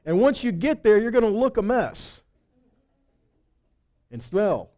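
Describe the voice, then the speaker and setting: High-pitched, mellow-toned male preacher. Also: very animated, a little slow. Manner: folksy, conversational, emphatic with rhetorical questions. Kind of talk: sermon with scripture reading and casual storytelling. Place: indoors on a stage at a congregation hall. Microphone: headset microphone.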